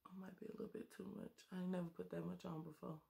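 A woman's voice: fairly low pitch, little pitch movement, rapid-fire, creaky, warm tone. A woman in her thirties chatting and joking with viewers while doing her makeup, recorded close on a webcam in a small bedroom. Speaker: beauty vlogger. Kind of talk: casual makeup tutorial chat.

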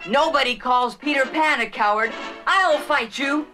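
With music behind, a woman plays a bold adventurous boy from a storybook record. The voice is high and brash, declaiming each line with cocky confidence. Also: unhurried, bright, forceful tone. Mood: defiant. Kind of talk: children's read-along storybook acting.

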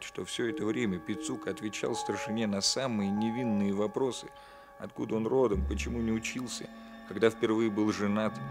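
Radio play lead: male police captain, played by an actor, lowish pitch, coolly polite, exact, shrewd interrogator. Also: natural voice. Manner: measured, precise, ironically polite. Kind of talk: 1960s Soviet radio play acting, alternating narration and dialogue.

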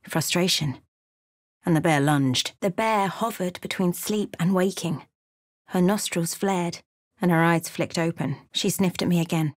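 A woman narrating a fantasy game as a solemn storyteller, in a measured, dramatic manner. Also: lowish voice, dry recording, clean studio sound, lightly acted.

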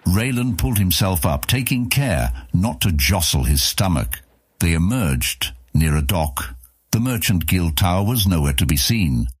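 A man narrating a fantasy audiobook in a measured storytelling manner. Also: low voice, big pitch swings, breathy edge, dry recording, studio quality.

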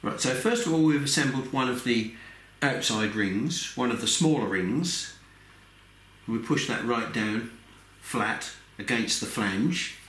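An older man with a croaky voice from a cold, explaining a workshop build step by step, with frequent "okay" and "um". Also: mid-range voice, expressive, unhurried, fairly bright tone, a little hiss or hum. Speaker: maker demonstrating a build. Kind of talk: hands-on workshop tutorial. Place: workshop bench. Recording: echoey room.

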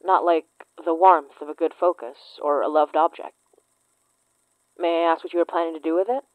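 Female actor as twenties investigator, low and dry-sounding, deadpan tease, world-worn, for a horror audio drama. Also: normal speed, dry recording, narrow, tinny audio, close to an everyday voice. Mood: wry, teasing. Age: 20s. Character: wry, teasing, trauma-worn young woman investigator with psychic senses. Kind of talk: naturalistic audio drama acting.

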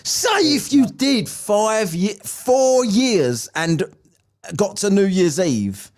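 A man's voice: high voice, sing-song, takes it slowly, a little mellow. A British man in his forties chatting casually as a podcast host, rambling and sweary, close on a mic. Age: 40s. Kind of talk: casual chat. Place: small room at home. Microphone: close studio mic on an arm.

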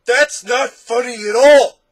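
A man's voice: extremely high pitch, measured pace, voice pushed up. A man voicing an over-emotional smog creature in a storybook, roaring and bellowing, easily tipped into hysterics. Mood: sobbing outrage. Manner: roaring, laughing, easily upset.

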